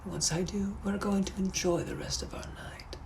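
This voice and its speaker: A man playing a menacing, possessive gentleman from the 1800s, speaking in a hushed, breathy, put-on voice. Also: high-pitched, steady tone. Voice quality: hushed breathy whisper, drawn-out purring delivery. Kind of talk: POV comedy skit.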